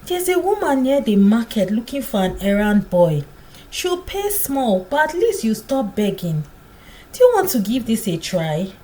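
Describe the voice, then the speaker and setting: A woman storyteller voicing a kind, gentle young market woman who coaxes and encourages in a folktale. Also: a little high, very animated, moderate pace. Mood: gently urging. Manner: warm greetings, coaxing encouragement.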